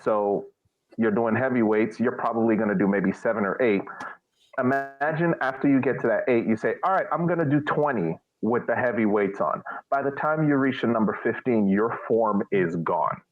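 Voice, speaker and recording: A man's voice: mid-range voice, normal speed. A man co-hosting a sports podcast, casually making his case in an explanatory way, over a thin connection. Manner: explanatory, argues through examples. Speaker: podcast co-host. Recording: thin phone-like radio sound.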